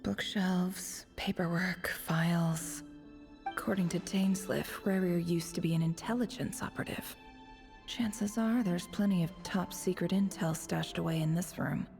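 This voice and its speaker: A woman voicing a cool, confident businesswoman investigator in a video game, composed, deliberate and breathy at times. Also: fairly low pitch, steady tone, darkish tone, soft background music. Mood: intrigued, musing.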